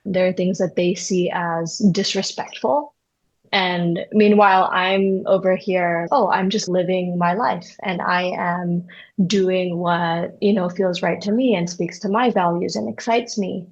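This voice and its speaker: A woman therapist from Indonesia hosts a reflective conversation, her voice sitting a little low. She thinks aloud with fillers and asides, and the sound is somewhat dull and lo-fi. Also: steady tone, measured pace. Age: young adult. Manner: thoughtful, searching, with many fillers and "right?". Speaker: therapist, podcast host.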